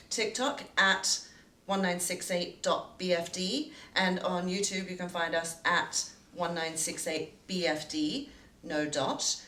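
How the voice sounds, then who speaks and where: A woman co-producer in casual behind-the-scenes talk, speaking in a measured, orderly way with frequent "um"s. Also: on the low side, fairly bright tone. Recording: echoey room, distant mic.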